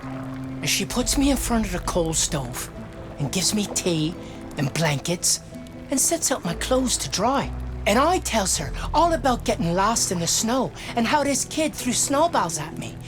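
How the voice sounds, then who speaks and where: A woman's voice: mid-range voice, sing-song, measured pace. Woman as homesick young Newfoundland soldier over music. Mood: wistful wonder. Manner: plain, folksy storytelling. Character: young homesick soldier, haunted, quietly fearful. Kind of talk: video game drama, first-person storytelling.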